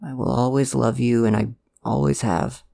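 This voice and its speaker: Someone acting as a nervous loving dad for an asmr roleplay, jokey, kinda stammery, lots of vocal fry. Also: medium pitch, steady tone, warm tone. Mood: tender, reassuring. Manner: halting, stammering, conversational. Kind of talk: ASMR audio roleplay, one-sided dialogue.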